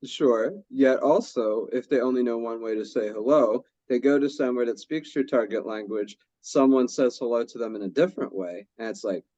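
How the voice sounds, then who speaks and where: Man, mellow voice, dry dull lo-fi sound, workshop presenter who recaps others' points. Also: mid-range voice, normal speed, hardly any pauses, no room sound. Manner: sums up and rephrases what others say. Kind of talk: leading a group discussion.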